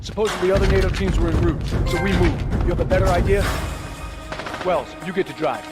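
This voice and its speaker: An actor playing a brisk, demanding special forces commander in a military game, with a clipped, authoritative delivery. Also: male voice, high voice, lightly acted. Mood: urgent, demanding. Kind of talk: military game cutscene dialogue. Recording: loud music under the voice.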